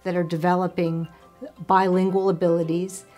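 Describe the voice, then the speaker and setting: A woman's voice: on the low side, flat delivery, moderate pace, darkish tone, faint music behind. A woman speaking as a school leader in a promotional interview, polished and articulate, sounding proud.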